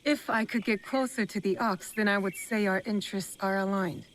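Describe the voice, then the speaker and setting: A woman's voice: medium pitch, steady tone, moderate pace, slight background noise, lightly acted. An actress playing a determined female shinobi assassin in feudal Japan, cool, terse and direct, in a video game. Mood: guarded. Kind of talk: scripted video game cutscene acting.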